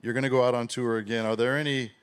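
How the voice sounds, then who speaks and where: A man's voice: mid-range voice, steady tone, fast talker, smooth. A man hosting an interview, enthusiastic and complimentary as he asks about the guest's music. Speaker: interviewer host. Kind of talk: interview questions.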